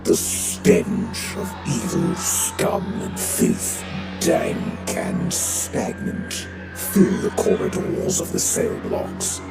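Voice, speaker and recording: Brief: male actor as a sinister prison AI, very deep and gravelly, slow and dark, music under. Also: very animated, exaggerated voice. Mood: menacing. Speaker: voice pack narrator. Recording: with music behind.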